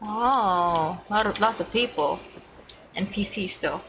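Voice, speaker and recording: A woman's voice: medium pitch, takes it slowly, fairly bright tone, a little hiss or hum, low-quality recording. A young woman from the Philippines giving casual, gushing reactions as she streams a video game. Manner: gushing, chatty. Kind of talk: casual livestream reaction commentary. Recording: game music behind the voice.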